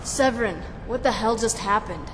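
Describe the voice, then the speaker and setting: Actress as brash young female game vampire fighter, cocky and crude, fairly high voice, noisy game audio. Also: normal speed, lightly acted. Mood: defiant. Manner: cocky, profane.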